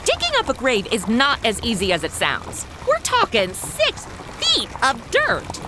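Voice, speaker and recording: A woman's voice: on the high side, wildly swooping pitch, a little slow. A woman playing a brash, sarcastic, whiny modern American sidekick in a comedy audio drama, full of slang. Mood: flippant. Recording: noisy, rain-like hiss behind.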